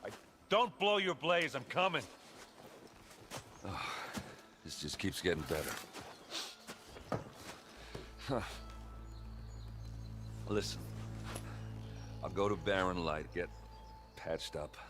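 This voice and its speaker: Gruff male warrior, deep, swinging delivery, wisecracking, halting, game cutscene. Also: big pitch swings, noisy background, full character voice. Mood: resigned, weary. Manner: halting, sardonic, blustery. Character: gruff, wisecracking male warrior, battered, loyal, wounded pride. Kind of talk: video game cutscene acting.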